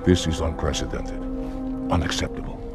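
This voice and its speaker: Proud resentful alien commander, video game, extremely low, growling, gravelly. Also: male voice, fairly even intonation, normal speed, loud music behind the voice. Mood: indignant. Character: indignant brutish alien military commander, obedient but resentful. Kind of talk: cinematic video game acting.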